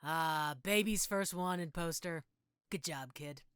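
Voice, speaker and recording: Woman doing a roguish cartoon mentor, affectionate, teasing, wry, lowered pitch, dry recording. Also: slow pace, a bit bright, smooth, dry recording. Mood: proud, teasing.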